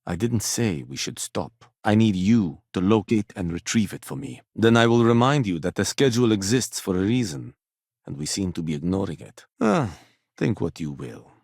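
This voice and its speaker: Casting: punctilious corporate office man for a video game. Low register, formal precise diction, dry condescension, close dry sound. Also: animated, moderate pace, gravelly, darkish tone, slightly rough, dry recording, exaggerated voice. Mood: brisk, impatient.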